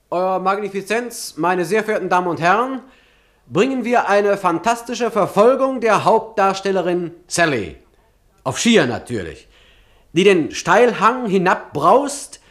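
Lebhafter, hoher Drehbuchautor. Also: male voice, high-pitched. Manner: animated, showy, flippant. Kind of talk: German radio drama acting.

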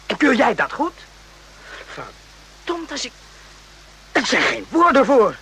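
Agitated high-voiced soldier. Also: male voice, wildly swooping pitch, slow pace, pushed and bright, breathy edge, exaggerated voice. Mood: outraged, pressing. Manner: emphatic, repeats himself, swears, fires off questions. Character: agitated, morally outraged soldier in the Vietnam War. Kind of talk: radio drama acting.

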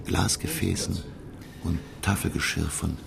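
A courteous old-world scholar in a radio drama, speaks in an extremely deep, gravel-laden voice. His delivery is deliberate and literary, slipping toward breathiness. Noticeable ambient noise lies behind him. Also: sing-song, exaggerated voice. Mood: reflective. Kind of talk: radio drama dialogue and narration. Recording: clear background ambient noise.

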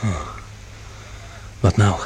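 Wry companion amid loud noise. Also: male voice, very slow. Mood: tense, wry. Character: grumbling, wry male companion on a cold, stealthy mission. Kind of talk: Dutch science fiction radio drama acting.

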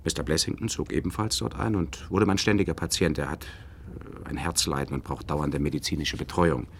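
A man's voice: a bass voice, fairly even intonation, normal speed, slight background noise. A man in a German radio play, playing an educated, polite nerve doctor who speaks formally and a little hesitantly. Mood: measured. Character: educated male nerve doctor, courteous, measured, mildly sceptical. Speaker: actor in a radio drama. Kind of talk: German radio play acting, recounting and dialogue.

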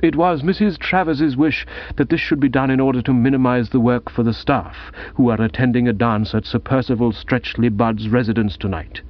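A man voicing a calm, deferential English manservant with a measured, formal manner in a comic audiobook. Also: mid-range voice, highs cut off, lightly acted. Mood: deferential, composed.

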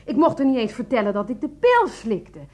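A fed-up bitter wife in a radio play, high voice that swoops around, super sarcastic. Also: female voice, very animated, a little fast, darkish tone. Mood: biting sarcasm. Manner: biting, sarcastic, exasperated. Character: bitter, sarcastic wronged wife in a quarrelling household. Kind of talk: 1990s radio comedy drama acting.

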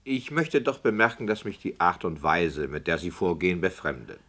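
An actor playing a formal, critical radio director who questions the author's methods. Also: male voice, low-pitched, normal speed, a bit bright.

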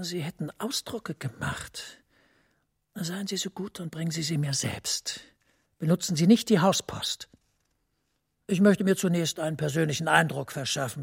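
Very husky, secretive senior official. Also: male voice, on the high side, full character voice. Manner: measured, formal, confidential, dropping to whispers. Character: smooth-talking, secretive, authoritative male senior official. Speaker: radio drama actor. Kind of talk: radio play dialogue.

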